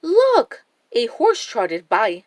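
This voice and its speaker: A woman voicing a plucky, playful young schoolboy in a storybook, animated and exclaiming. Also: a little high, extremely slow, no room sound, clean studio sound, voice pushed up, heavily acted. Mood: eager.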